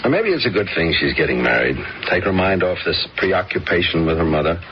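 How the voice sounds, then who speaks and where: An actor playing a measured, questioning wealthy father in an old radio drama. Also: deep voice, big pitch swings. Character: wealthy middle-aged father, probing and dry. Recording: dull old radio recording, faint hiss.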